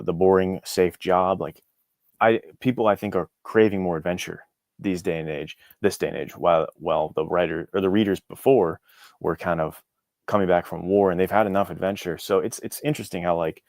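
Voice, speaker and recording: A man's voice: low-pitched, fairly even intonation, a little fast, vocal fry, no room sound, studio quality. A young American man talking as a podcast guest in a reflective, informal, loosely phrased way. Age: young adult. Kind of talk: casual interview chat.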